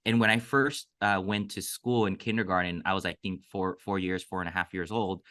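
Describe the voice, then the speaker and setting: A man's voice: deep voice, steady tone, brisk, pushed and bright. A man from Mexico whose first language is Cantonese, a podcast guest telling a personal story in an earnest, reflective way. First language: Cantonese (Toisan). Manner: reflective, earnest, many fillers. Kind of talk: casual storytelling interview.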